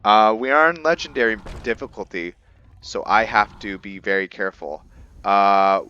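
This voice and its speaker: A man giving casual game commentary with roleplay lore, thinking aloud in a halting way. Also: lowish voice, smooth, highs cut off. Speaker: gamer hosting a roleplay let's play.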